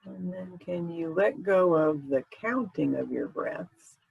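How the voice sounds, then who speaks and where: A man leading a guided meditation in a gentle, informal, conversational way with unhurried phrasing. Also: high-pitched, gravelly, slightly rough, dry recording, narrow, tinny audio.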